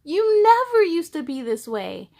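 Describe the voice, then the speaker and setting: A woman's voice: very high voice, big pitch swings, unhurried, vocal fry, warm tone, exaggerated voice. A woman reading the part of a brave, proud child in a picture book, speaking in a bold, earnest, emphatic way. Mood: defiant.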